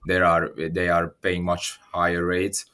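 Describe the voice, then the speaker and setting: Young man, deep and even, measured guest answers. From Slovakia. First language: Hungarian. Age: 20s. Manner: careful, repeats words while thinking. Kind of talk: interview answers.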